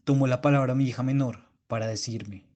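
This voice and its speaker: A man's voice: mid-range voice, steady tone, fairly quick, dark tone, highs cut off, natural voice. A man playing a stern, pompous school director who speaks in long oratorical sentences in a radio drama. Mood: pompous, reminiscing. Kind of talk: literary radio drama monologue.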